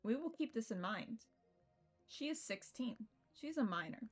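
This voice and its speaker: A giggly twenty-one-year-old woman streams a visual novel, joking and chatting with her chat. Her voice sits fairly high and jumps around a lot in pitch. Faint game music plays in the background. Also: lively intonation, a little slow. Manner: giggly, playful, swears, chats with viewers. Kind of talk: casual live-stream commentary on a visual novel.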